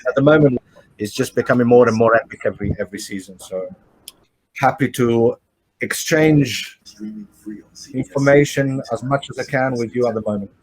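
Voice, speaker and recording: Italian-accented man, halting and friendly. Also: medium pitch, slight background noise, poor audio. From Italy. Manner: halting, searches for words in a second language. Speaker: animation producer answering fan questions. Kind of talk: casual online Q&A.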